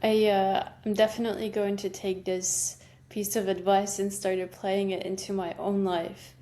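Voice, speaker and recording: A woman's voice: mid-range voice, flat delivery, moderate pace, warm tone. A woman hosting a podcast interview, talking in a chatty, rambling way with many fillers. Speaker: podcast host. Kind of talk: casual interview chat.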